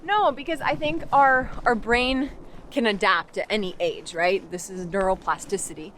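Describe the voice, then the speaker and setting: A woman's voice: mid-range voice, moderate pace, fairly bright tone, slight background noise. A young adult woman from Canada, a language coach, talking casually on a walk outdoors, with lots of "like" fillers and restarts. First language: English. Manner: chatty, many "like" fillers and restarts. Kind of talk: casual walking conversation. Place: outdoors in a park.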